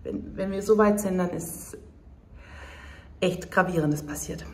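A woman's voice: on the low side, measured pace, a little mellow, slight background noise. A woman in her thirties talking casually to her phone camera at arm's length, chatty and at times indignant. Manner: chatty, indignant when complaining. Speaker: vlogger. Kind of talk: casual vlog talk to camera. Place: indoors at home and in shops, some outdoors.

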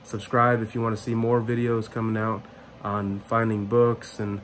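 A man's voice: on the low side, completely flat delivery, normal speed, slight background noise. Casual man vlogging in a hotel room. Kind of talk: casual vlog sign-off to camera. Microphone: phone at arm's length.

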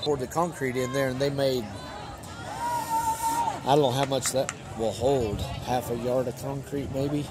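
A man's voice: mid-range voice, wildly swooping pitch, unhurried. A man sitting in the stands of an outdoor football stadium at night, chatting casually and unsure of details while filming the game. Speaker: spectator in the stands. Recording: loud crowd and stadium noise.

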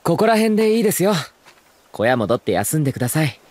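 A man's voice: on the high side, quick pace. An actor playing an eager, affectionate, puppyish younger man in a Japanese drama CD. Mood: bright, flustered. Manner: pleading, excitable, murmuring inner monologue.

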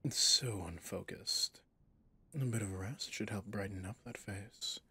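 A man playing a suave, possessive villain boss in an intimate ASMR roleplay, speaking in a courtly, seductive way with a breathy, husky voice. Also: lowish voice, fairly even intonation, measured pace, pushed and bright, exaggerated voice. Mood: gentle, courteous. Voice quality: breathy, husky, often near a whisper.

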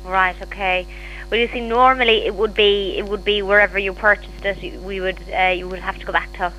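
A woman's voice: mid-range voice, fairly even intonation, brisk, bright, forceful tone, a little hiss or hum, low-quality recording. An Irish-accented woman handling a customer service call, patiently repeating and clarifying as a shop receptionist. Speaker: hearing aid shop receptionist.

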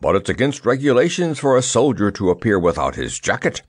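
A man's voice: medium pitch, expressive, moderate pace, no room sound, voice pushed up, natural voice. A man acts out a timid soldier from a children's tale. He pleads piteously and fussily in a middle register, recorded dry. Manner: piteous, fussy. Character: timid, pitiful, rule-bound male soldier.

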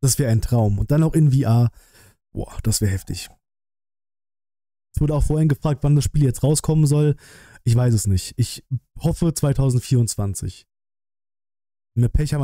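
Male livestream host, 30s, very mellow dark tone, dry close-mic sound, colloquial and blunt, thinking out loud. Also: medium pitch, dry recording. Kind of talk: casual livestream chat and trailer reaction. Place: small decorated streaming room indoors. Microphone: close desk microphone, headset worn.

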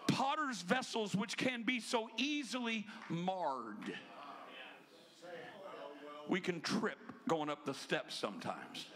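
A man in his fifties preaching into a handheld mic in a church sanctuary. His voice sits very high for a man and rings bright and forceful. He swings between shouted emphasis and quick conversational asides. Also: animated. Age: 50s. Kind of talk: energetic Pentecostal sermon. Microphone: handheld microphone.

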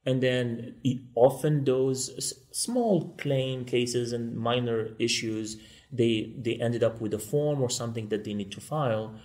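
A man from Morocco, a law school guest, speaking quickly and earnestly in a podcast interview. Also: mid-range voice, darkish tone.

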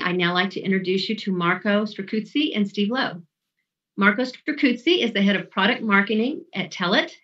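A woman moderating an online event, reading housekeeping notes and speaker introductions in a measured way over a video call. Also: mid-range voice, a bit bright. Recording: dull lo-fi video call audio.

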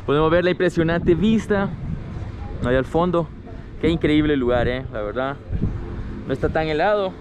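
A young man from Latin America excitedly vlogging his travels, talking to viewers as friends on an action camera held at arm's length. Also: a little high, normal speed, long pauses, dull audio, background noise. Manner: enthusiastic, exclaiming, addressing viewers as friends. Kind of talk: casual travel vlog. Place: cable car and mountain-top terrace, indoors and outdoors. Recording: music playing under the voice.

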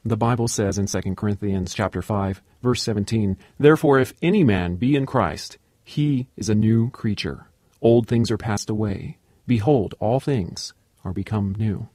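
An actor playing a patient, earnest evangelist in a radio drama, measured and solemn. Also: male voice, lowish voice, dark tone, no echo, clean studio sound, lightly acted. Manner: measured, solemn when quoting. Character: patient, earnest male evangelist quoting scripture.

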